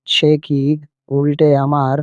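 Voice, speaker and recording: A man's voice: on the high side, monotone, slow pace, clean tone, no room sound. A man playing a kindly, aggrieved holy man in a cartoon fable, earnest and pleading, in slightly dull audio.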